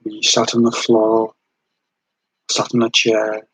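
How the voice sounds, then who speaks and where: A man leading a guided meditation in a gentle, soothing, unhurried way, with long pauses between short phrases and a breathy edge to the voice. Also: lowish voice, completely flat delivery, no room sound, poor audio.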